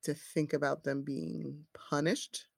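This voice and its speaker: A woman in her forties, a parent who works in scrubs, chatting casually in halting speech with many restarts. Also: deep voice, flat delivery, creaky, no echo, studio quality. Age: 40s. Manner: halting, restarts often, says "like" and "you know". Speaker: healthcare worker and parent. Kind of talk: casual chat.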